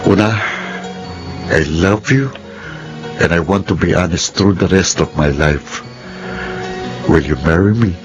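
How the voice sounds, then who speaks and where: A man's voice: low-pitched, slow, long pauses. A man with a Filipino accent acting an earnest, tender young suitor in a romantic drama, with a pleading, sweet-talking manner. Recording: music bed under dialogue.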